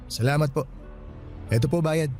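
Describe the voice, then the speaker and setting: Radio drama role: male actor as courteous Filipino night guard, fairly high voice, respectful and friendly, faint music underneath. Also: normal speed, a little mellow, lightly acted. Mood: friendly, curious. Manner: respectful, deferential.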